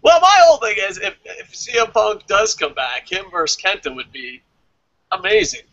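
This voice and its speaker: A man casually co-hosting a review show, reading out comments and answering them in a blunt, animated, sweary way. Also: high-pitched, moderate pace, dry recording, highs cut off. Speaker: co-host of a wrestling review show.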